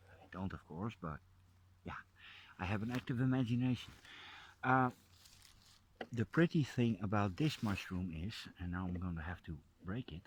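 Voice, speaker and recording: Dutch man, low-pitched, unhurried, soft outdoor vlog narration. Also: husky. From Netherlands. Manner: soft-spoken, drops to a hushed near-whisper up close. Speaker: nature vlogger. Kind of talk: casual narration while foraging. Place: forest, outdoors.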